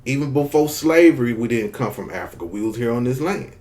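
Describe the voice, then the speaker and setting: A man's voice: mid-range voice, expressive, a little fast, darkish tone. A man from Mississippi with a Southern drawl, giving emphatic, repetitive opinion commentary straight to his viewers. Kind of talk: casual opinion commentary to camera.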